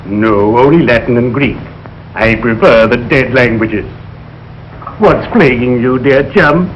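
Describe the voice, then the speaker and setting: An actor playing a solemn, courteous, morbidly genteel undertaker in a 1940s radio sitcom, with formal, lugubrious diction. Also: male voice, medium pitch, a little slow, lightly acted. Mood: solemn, genial. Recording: dull, noisy old radio broadcast.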